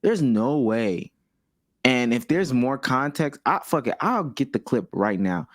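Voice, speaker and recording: A man's voice: medium pitch, big pitch swings, a little slow, dry recording, clean studio sound. A man co-hosting a podcast, talking sports in casual group banter with a drawling, loose delivery. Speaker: podcast co-host.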